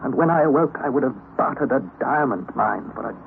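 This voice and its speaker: An earnest young adventurer narrating. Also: male voice, medium pitch, animated, brisk, vocal fry. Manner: earnest, dramatic. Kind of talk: 1930s radio drama narration. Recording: old lo-fi radio broadcast, faint hiss.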